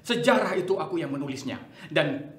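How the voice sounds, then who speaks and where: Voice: medium pitch, expressive, a little fast, close to an everyday voice. Casting: authoritarian scheming general, acted in a dramatic reading, emphatic oratory with dramatic swings, heard off-mic in an echoey room. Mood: fervent, defiant. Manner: declamatory, emphatic, commanding. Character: bombastic, authoritarian general scheming amid political chaos. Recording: echoey room, distant microphone.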